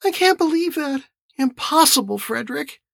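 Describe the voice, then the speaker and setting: A man voicing a rueful, disbelieving woman with a gentle manner in an audiobook story. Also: very high-pitched, animated, normal speed, creaky, no echo, studio quality, voice pushed up, exaggerated voice.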